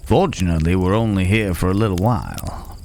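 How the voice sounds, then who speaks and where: A man playing a calm, protective cowboy stranger in an ASMR roleplay, talking in an unhurried, folksy way with hesitant pauses and gentle teasing. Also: low voice, creaky, a little hiss or hum. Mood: calm, reassuring. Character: gruff but caring male cowboy stranger, calm and protective. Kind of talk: immersive ASMR roleplay acting. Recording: outdoor night ambience with chirping crickets.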